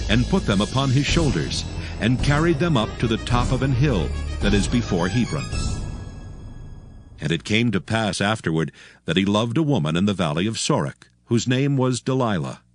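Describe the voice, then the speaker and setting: Deep, expressive male scripture narrator.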